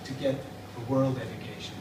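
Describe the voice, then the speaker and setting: A man's voice: medium pitch, little pitch movement, normal speed. Swedish guy in his 30s, thinks as he talks, lots of ums, roomy hallway, noisy, far from the camera. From Sweden. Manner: thoughtful, hesitant with fillers and restarts. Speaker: interviewee. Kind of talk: casual interview answers. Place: school corridor with plastic chairs.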